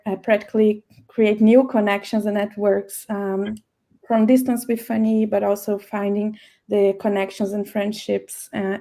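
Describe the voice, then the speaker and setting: A woman artist introduces her work to a panel in a casual, halting way, with plenty of fillers. Her voice is mellow and gravelly. Her pitch stays flat and level throughout. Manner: hesitant, with many fillers.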